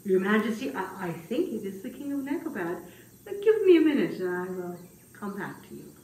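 A woman's voice: mid-range voice, big pitch swings, darkish tone. A woman voicing a humble, fretful old man who tells his puppet-show tale in a dramatic, hesitant way. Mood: courteous. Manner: slow, dramatic, hesitant telling with wailing exclamations. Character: humble, fretful old male grass cutter, puppet storyteller. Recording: distant, echoey room with faint noise.

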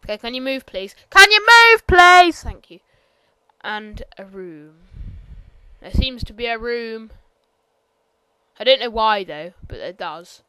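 Woman, higher voice, casual gameplay voiceover; rambling, hesitant, apologetic. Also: sing-song, slow, clear, resonant tone, no echo, poor audio. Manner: quiet, sometimes bursts out loud. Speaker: gameplay commentator. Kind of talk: casual game tour voiceover.

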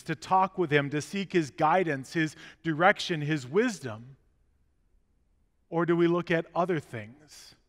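A middle-aged pastor preaches in an easy, conversational way, tossing rhetorical questions to his congregation. His voice sits high for a man, and it rings with the long reverb of a big sanctuary. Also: fairly bright tone. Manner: gestures while walking about the chancel. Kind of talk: sermon. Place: large church sanctuary, indoors. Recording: long reverb of a church hall.

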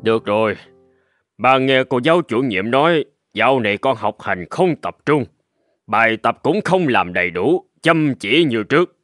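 A man playing a stern but fair middle-aged father in a cartoon, speaking in a measured, earnest, admonishing way. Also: medium pitch, expressive, fairly bright tone, dry recording, close to an everyday voice. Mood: calm, serious. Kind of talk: animated moral tale dubbing. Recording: music behind the voice.

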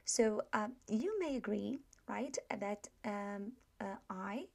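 A woman with a Polish accent, a native Polish speaker, narrating an online pronunciation lesson over slides and pausing often with fillers. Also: mid-range voice, lively intonation, gravelly. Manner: hesitant, many fillers and restarts. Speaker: language teacher.

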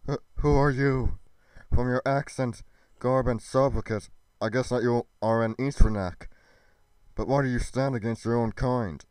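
A man's voice: medium pitch, moderate pace, darkish tone, clear, resonant tone, no room sound, close to an everyday voice, poor audio. A man voicing a guarded, caring young woman with a hesitant stammer in an amateur scripted roleplay. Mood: startled.

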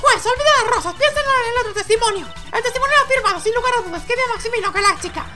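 A man's voice: extremely high pitch, expressive, rapid-fire, over music, voice pushed up. A man voicing a haughty, impatient young woman prosecutor in a courtroom video game, with imperious, scornful delivery. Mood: impatient, insistent. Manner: imperious, scornful, clipped commands.

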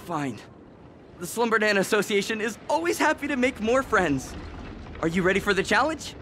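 An officious young male gatekeeper from a video game. He talks in a high, sharp voice with a condescending, know-it-all manner, over faint background noise. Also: sing-song, moderate pace. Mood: smug. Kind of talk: video game story acting.

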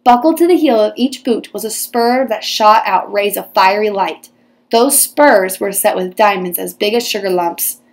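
A woman teacher reading a children's storybook aloud with expressive, dramatized storytelling. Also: medium pitch, moderate pace.

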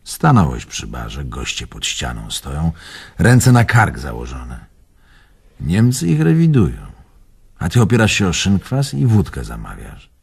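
An actor playing an animated, teasing old man who reminisces theatrically in a radio drama. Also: rumbling low, unhurried, vocal fry, husky, exaggerated voice. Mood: admiring, dramatic. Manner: theatrical, expansive storytelling.

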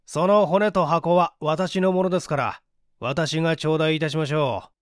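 A man's voice: fairly high pitch, moderate pace, no room sound, studio quality, lightly acted. A man voicing an indignant, haughty young man in a storybook reading, stiffly polite and challenging. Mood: stern, demanding.

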